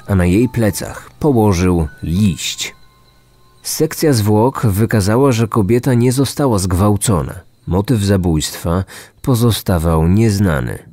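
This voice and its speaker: A man's voice: lowish voice, warm tone. A man in his thirties reading a scripted true crime podcast narration as its host. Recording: music bed under the voice. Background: background music.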